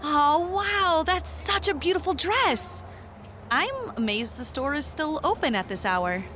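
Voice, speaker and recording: Excitable female sidekick for audio drama, played by a woman: high, swooping, unhurried delivery, expressive and casual. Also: slight background noise, heavily acted. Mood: excited, friendly. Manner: expressive, slangy, exclaiming. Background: music.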